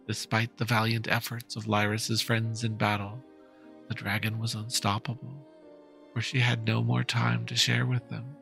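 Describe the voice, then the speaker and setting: A man's voice: mid-range voice, completely flat delivery, normal speed, gravelly, a bit bright, soft background music. A man narrating a fantasy story in a solemn, theatrical dramatic reading.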